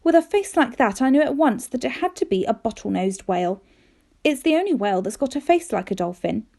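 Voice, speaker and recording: A woman reading a children's storybook aloud in an expressive, careful storytelling manner, with dramatic emphasis. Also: a little high, brisk, creaky. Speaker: teacher reading aloud to pupils.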